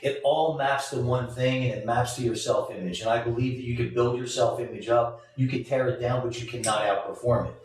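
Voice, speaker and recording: A man's voice: mid-range voice, fairly even intonation, brisk, hardly any pauses. American man, motivational host in echoey room. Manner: emphatic, encouraging, repeats phrases for emphasis. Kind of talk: live motivational interview, casual talk. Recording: very echoey room, distant mic.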